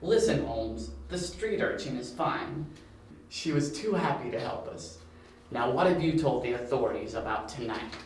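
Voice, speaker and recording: Villain for amateur audio drama, male actor: taunting mastermind, politely menacing, average-pitched male voice, very reverberant with faint noise. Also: animated, moderate pace, close to an everyday voice. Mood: taunting, amused. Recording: very echoey, distant, with faint hiss.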